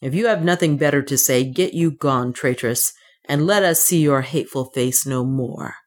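A woman voicing a weary, stern gentleman adventurer in an audiobook, in a formal, plain-spoken way. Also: extremely low pitch, moderate pace. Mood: angry, scornful. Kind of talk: audiobook character dialogue.

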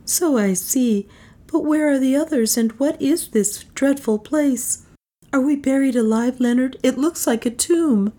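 A fearless, passionate young woman in an adventure audiobook, voiced by a female narrator. She speaks well above a low register, distraught and indignant, with drawn-out, accusing cries. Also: mellow. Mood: anxious. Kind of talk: dramatised audiobook dialogue.

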